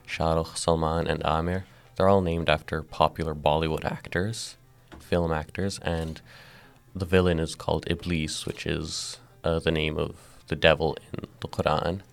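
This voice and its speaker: A young man explaining how he and his siblings made a comic book, in a measured, explanatory way. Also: extremely low pitch, little pitch movement, creaky. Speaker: guest, comic book co-creator. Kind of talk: interview answers.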